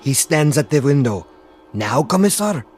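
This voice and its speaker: A man's voice: a little high, sing-song, normal speed, loud music behind the voice. An actor playing an eager, bloodthirsty young henchman in a radio drama, blunt and impatient yet deferential.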